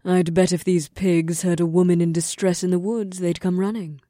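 A vengeful warrior woman, played by a female narrator speaks in a very even, dark tone. Her voice is mellow and slightly low, recorded dry, suited to a fantasy audiobook. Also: normal speed, dry recording, close to an everyday voice. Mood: darkly scheming. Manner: flat, dark. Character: horned female fantasy warrior, flat-toned and coldly vengeful.

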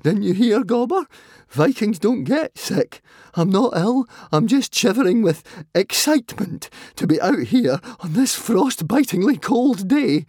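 A man voicing a scornful young Viking boy in a children's audiobook, loud, sarcastic and insulting. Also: high-pitched, animated, measured pace, breathy edge, dry recording, studio quality, voice pushed up, full character voice. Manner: screaming, sarcastic.

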